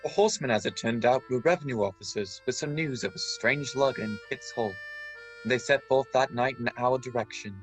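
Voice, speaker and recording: A man's voice: mid-range voice, a little fast, natural voice. A man playing the narrator of a radio adventure play, telling his tale in literary phrasing. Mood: storytelling. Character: male narrator recalling his boyhood adventure. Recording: music playing under the voice.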